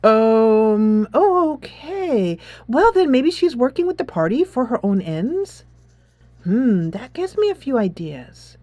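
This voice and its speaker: Kind, nervous high school girl for a visual novel, read by a woman: raised pitch, hesitant, eager to please. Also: sing-song, slow, dark tone, no echo, heavily acted. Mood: hesitant, hopeful. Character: sweet, anxious, accommodating high school girl. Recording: music playing behind.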